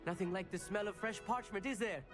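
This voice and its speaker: A man's voice: high voice, a little fast, over music, natural voice. A man playing an eager, bookish teenage boy at a wizard school, earnest and polite in a game. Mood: eager, friendly. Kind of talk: scripted game dialogue.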